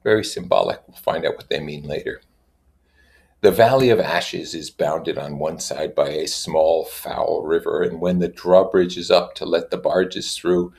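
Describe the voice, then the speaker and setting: A man with a very deep voice and plenty of fry reads literature aloud. His delivery is measured for the text and informal when he comments on it. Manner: measured reading with informal asides. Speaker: reader and commentator. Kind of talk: literary read-aloud with casual explanatory comments.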